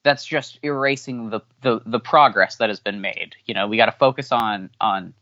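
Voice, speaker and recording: A man whose first language is not English answering interview questions candidly, halting with many fillers and restarts. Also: on the low side, pushed and bright, no room sound. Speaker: podcast guest. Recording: dull lo-fi audio.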